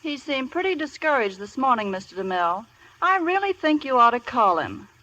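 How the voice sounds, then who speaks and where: A woman's voice: sits high, sing-song, a little fast, bright, forceful tone, lo-fi sound, heavily acted. A woman acting an efficient, polite studio secretary in a 1940s radio play. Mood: businesslike.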